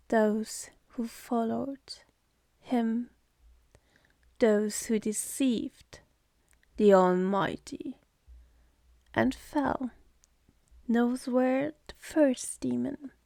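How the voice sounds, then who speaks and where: A woman voicing a jaded, scornful, seductive demoness in an ASMR roleplay, with a sardonic manner that slips into breathy whispers. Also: on the high side, dark tone, dry recording, studio quality, heavily acted.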